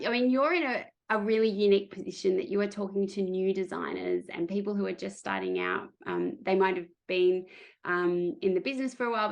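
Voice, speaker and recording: Gravelly-voiced woman hosting a podcast. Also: mid-range voice. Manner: affirming, lots of "yeah" and "um". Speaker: podcast host and interior designer. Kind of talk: casual podcast interview.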